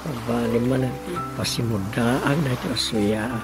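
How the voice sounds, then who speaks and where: A man's voice: medium pitch, a little slow, music in the background, lightly acted. A man acting a village councillor and chairman's aide in an Ilocano radio drama comedy, conversational and grumbling, with an Ilocano accent.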